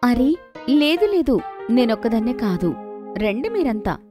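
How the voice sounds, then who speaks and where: A woman voicing a kind, proud mother in a children's cartoon, gentle and encouraging. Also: fairly high pitch, big pitch swings, measured pace, over music. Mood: modest. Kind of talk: animated children's story dubbing.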